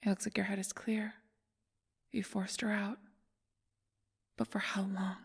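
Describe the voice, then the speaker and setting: A woman playing a calm, knowing ally in an ASMR audio roleplay, whispering with teasing encouragement. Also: mid-range voice, unhurried, gravelly, breathy edge, exaggerated voice. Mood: wry, encouraging. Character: calm, knowing female ally coaxing someone onward.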